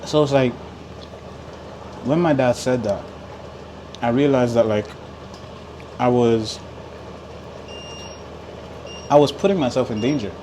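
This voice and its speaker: A man hosting a relaxed podcast conversation, with Igbo as his first language. He talks in loose, animated bursts, leaning on fillers and restarting phrases. Behind him the recording carries a steady hiss and the hum of an air conditioner. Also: mid-range voice, unhurried, long pauses, low-quality recording. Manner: animated, talks with filler likes and repeats words. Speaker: podcast host. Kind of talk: casual podcast chat.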